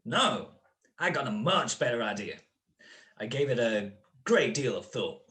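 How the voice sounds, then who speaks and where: A man's voice: medium pitch, lively intonation, measured pace, a bit bright. A man playing a suave gangster boss in a radio crime drama, mock-courteous and taunting. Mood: gloating. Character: suave, gloating male gangster boss with a gun.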